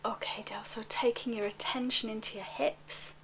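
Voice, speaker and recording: A woman coaching a guided relaxation, speaking gently and unhurriedly, with soft phrase endings that often sink toward a whisper. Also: fairly high pitch, fairly even intonation, normal speed. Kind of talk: guided relaxation, spoken softly to one listener.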